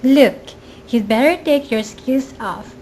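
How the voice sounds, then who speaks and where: A woman playing a scheming, greedy murderess in an amateur radio drama, falsely sweet and coaxing, with smooth reassurance. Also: high-pitched, takes it slowly. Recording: faint background noise, amateur recording.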